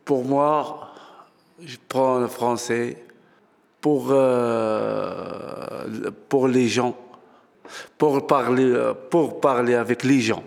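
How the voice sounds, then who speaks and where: Slow, creaky-voiced man speaking haltingly. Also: mid-range voice, darkish tone, long reverb tail. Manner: halting, searching for words. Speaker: adult French learner. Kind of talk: spoken testimonial.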